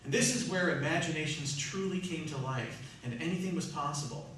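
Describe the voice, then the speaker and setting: A man's voice: fairly high pitch, brisk, fairly bright tone. A man hosting a live theatre show, giving a prepared, showmanlike introduction to the audience. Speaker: host of a live radio theatre troupe. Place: large echoey room, live performance. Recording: very echoey room, distant mic, hiss.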